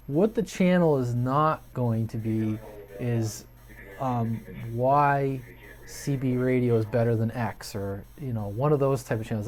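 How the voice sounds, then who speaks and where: A man's voice: medium pitch, a little mellow. An American man hosting a hobby video channel, talking casually and unscripted to viewers in a hesitant, rambling way, thinking aloud.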